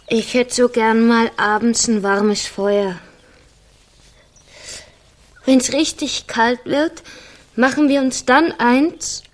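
Radio drama role: poor young pregnant woman, high voice, slow delivery, timid and plaintively begging. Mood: wistful, pleading. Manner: slow, plaintive pleading with groans.

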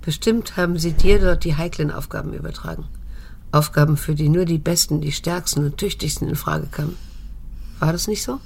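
An actress playing a reserved, middle-aged daughter who questions pointedly and with control, in a creaky-voiced radio drama performance. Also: female voice, low-pitched, fairly quick, darkish tone, slight background noise. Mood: insistent, cool. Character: grown daughter, reserved, probing, coolly determined.

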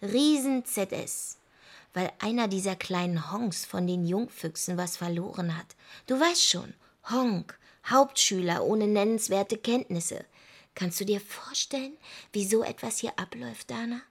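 Husky, brooding young daughter. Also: female voice, medium pitch, unhurried. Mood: reading, animated. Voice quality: husky, breathy. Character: brooding, defiant daughter pining for her twin brother. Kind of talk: intimate radio drama acting.

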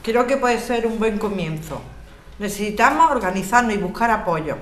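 A woman's voice: mid-range voice, lively intonation, moderate pace, lightly acted. A woman playing a principled, supportive activist neighbour in an amateur radio soap, with an accent from Spain. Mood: encouraging. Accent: Spanish, from Spain. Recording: echoey room with faint noise.

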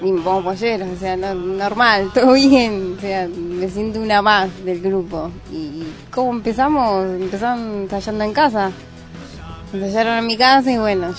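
An Argentine woman guitarist answers interview questions in an easygoing, chatty way, full of casual fillers. Her voice is mid-pitched. A little music sits in the background of the studio. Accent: Argentine Spanish. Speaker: guitarist in a band. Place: radio studio.

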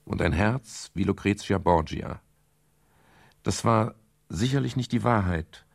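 Gravelly, low-voiced brooding private detective. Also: male voice, lively intonation, dry recording, studio quality, heavily acted. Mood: pensive, melancholy. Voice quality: gravelly, husky. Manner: measured, literary, melancholy. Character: brooding male private detective narrating his own case. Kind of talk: 1970s German radio crime drama, first-person narration.

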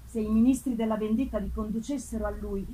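A woman's voice: on the high side, fairly even intonation, fast talker, warm tone, close to an everyday voice, low-quality recording. A woman voicing an anguished, vengeful man in a solemn, impassioned, theatrical reading of a gothic novel. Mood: desperate pleading. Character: anguished, vengeful man hunting the demon who wronged him. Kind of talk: dramatic first-person gothic novel reading.

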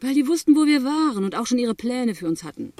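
An actress playing a smuggler's determined, matter-of-fact and gently mocking wife in a radio crime drama. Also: female voice, on the high side, sing-song, fast talker, no room sound, studio quality.

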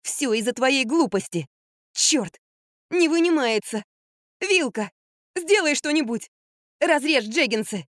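A woman's voice: high voice, expressive, slow pace, pauses often, no echo, clean studio sound, voice pushed up. A woman voicing a bossy, spiteful young actress in an audiobook, snapping and whining. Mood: gloating, bossy.

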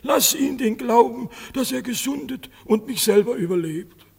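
High, husky, sanctimonious old man. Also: expressive, moderate pace, a little mellow, heavily acted. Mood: pious wheedling. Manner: wheedling, piously declamatory. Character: sanctimonious, scheming old father feigning kindness. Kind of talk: theatrical German radio play. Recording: long reverberant hall sound.

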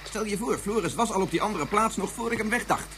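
Quick, driven scientist. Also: male voice, high voice, noisy background. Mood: earnest, determined. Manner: earnest, insistent. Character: driven, stubborn physicist, earnest and resolute. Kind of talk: radio drama acting.

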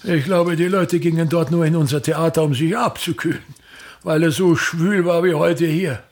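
Radio drama: grandiose, sour middle-aged stage impresario, gravelly and husky, forever complaining. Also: male voice, fairly high pitch, steady tone, normal speed, a little mellow. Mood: self-important. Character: pompous, disdainful, self-pitying male touring theatre impresario. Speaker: actor playing a travelling playwright-actor. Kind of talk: theatrical radio drama monologue.

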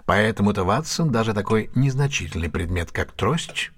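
An actor playing a confident, ironic detective in an audio drama, husky-voiced, with a teasing, deliberate, theatrical manner. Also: male voice, on the low side, animated, lightly acted. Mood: amused, probing. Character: confident, amused, ironic male detective, keenly analytical. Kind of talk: Russian audio drama acting.

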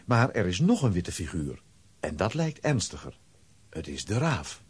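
A man's voice: low voice, big pitch swings, unhurried, slightly rough, no echo. A man narrating a children's audio drama in a dramatic, scripted storybook style.

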